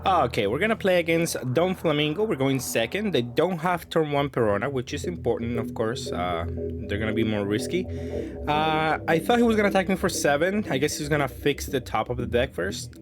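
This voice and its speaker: A man's voice: fairly high pitch, animated, a little fast, music in the background. A man giving casual, unscripted card game commentary as a host, thinking aloud with some hesitation.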